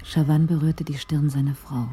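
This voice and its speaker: Low, dark-voiced woman narrator. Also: level tone, a little hiss or hum. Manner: measured, literary storytelling. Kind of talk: scripted narration of a radio crime drama.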